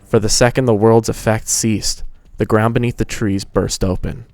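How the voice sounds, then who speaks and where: A man's voice: on the low side, flat delivery, moderate pace, heavy background noise. A man hosting a fan fiction reading and narrating in a casual style. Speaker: host and fan fiction reader.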